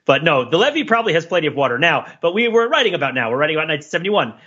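A man's voice: a little high, sing-song, very fast, bright, forceful tone, slightly rough, muffled recording. A man hosting a casual podcast discussion, thinking aloud in a rambling, playfully analytical way. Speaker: podcast host.

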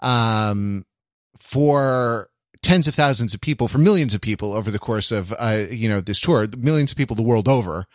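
A man hosting a podcast in a casual conversational style, thinking aloud in a halting, rambling and reflective way. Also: mid-range voice, no room sound, studio quality. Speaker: podcast host.

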